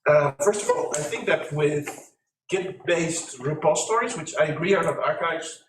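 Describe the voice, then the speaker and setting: A man from industry speaking up from the audience in a conference discussion, forthright and practical, with a loud voice. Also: on the high side, moderate pace, background noise. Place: conference meeting room. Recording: echoey room, distant ceiling mics.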